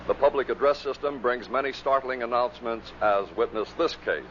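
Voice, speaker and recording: A man's voice: medium pitch, a little fast. A man reading scripted narration as the presenter of a compilation of radio clips. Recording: dull vintage recording with faint noise.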